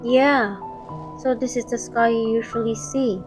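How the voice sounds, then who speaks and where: A woman's voice: a little high, steady tone, unhurried, lightly acted, low-quality recording. Woman doing a young heroine talking to her sweetheart, devoted and playful, romance audio drama, music behind. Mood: tender.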